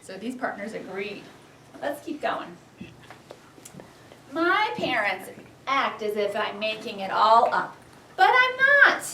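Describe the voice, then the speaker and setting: A woman teaching young children, reading a storybook aloud expressively and giving clear, animated instructions. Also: on the high side, slow, pauses often. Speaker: first-grade teacher. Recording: echoey classroom, distant, background noise.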